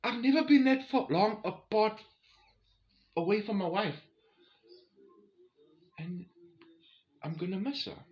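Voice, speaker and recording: A forty-year-old South African man casually telling a personal story to his viewers in an expressive, chatty way. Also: sits high, takes it slowly, long pauses, muffled recording. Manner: expressive, chatty, acts out quoted exchanges. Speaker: vlogger.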